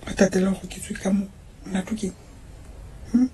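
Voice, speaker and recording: A woman's voice: medium pitch, fairly even intonation, fast, mellow, slight background noise, natural voice. An actress playing a stubborn, scornful wife arguing with her husband in a radio drama. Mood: defiant. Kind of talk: Setswana radio drama acting.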